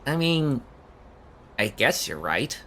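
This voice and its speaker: A woman's voice: rumbling low, sing-song, slow pace, fairly bright tone, exaggerated voice. A woman playing an awkward, nervous young job seeker in an audio drama, hesitant, stammering and rambling. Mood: awkward, hopeful. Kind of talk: naturalistic audio drama acting.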